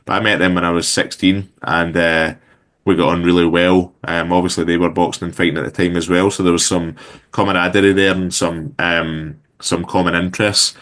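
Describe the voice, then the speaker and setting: A man's voice: low voice, fairly quick. A man in his thirties with a Glaswegian Scottish accent, chatting casually as an interview guest, loose and rambling with lots of fillers. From Scotland. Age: 30s. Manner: relaxed, rambling with many fillers. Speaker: guest entrepreneur.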